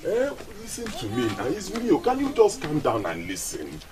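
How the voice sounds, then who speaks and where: An actor playing a young prince in love who pleads and coaxes, with frequent tag questions. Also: male voice, fairly high pitch, wildly swooping pitch, normal speed, over music. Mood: pleading. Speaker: prince pleading with his lover. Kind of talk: Nollywood film acting.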